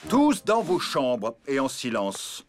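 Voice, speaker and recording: Firm, expressive cartoon dad. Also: male voice, medium pitch, takes it slowly, faint music behind. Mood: stern. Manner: admonishing. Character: firm, exasperated elephant father. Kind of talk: French cartoon dubbing.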